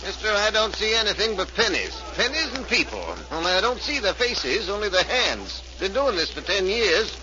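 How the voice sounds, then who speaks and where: A man's voice: sits high, sing-song, normal speed, few pauses. An actor playing a jaded older penny-arcade change man, plain-spoken and sing-song, in 1940s radio drama style. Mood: world-weary. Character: jaded old penny-arcade change maker called Pop. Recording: muffled vintage 1940s radio recording.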